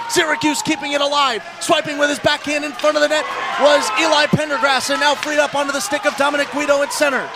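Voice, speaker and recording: A man giving live ice hockey radio commentary as play-by-play announcer, excitable and ready to raise his voice on chances. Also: extremely high pitch, background noise.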